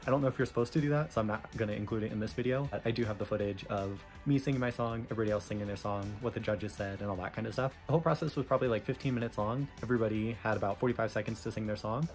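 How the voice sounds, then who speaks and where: A man's voice: on the low side, racing, few pauses, soft background music. A man telling a personal story in a casual, chatty vlog style, often dropping "like" into his sentences. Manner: chatty, frequent "like" asides. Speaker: vlogger recounting an audition.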